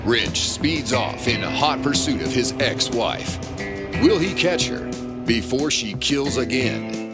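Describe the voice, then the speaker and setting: An actor playing a grandiose serial announcer, narrating melodramatically with theatrical pauses. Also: male voice, medium pitch, lively intonation. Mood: suspenseful. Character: bombastic male serial adventure announcer. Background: music.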